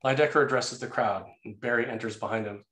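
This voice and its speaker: A man's voice: mid-range voice, fairly even intonation, fast talker, a bit bright. A man narrating action and scene headings from a screenplay in a scripted read. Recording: roomy, echoey sound.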